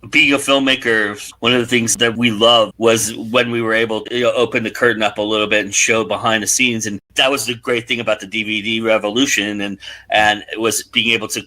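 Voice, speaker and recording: A man with a bright, forceful voice pitched a little low hosts a conversational interview. He thinks out loud, building long, reflective points before handing over. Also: fairly even intonation, brisk. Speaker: interview host and filmmaker.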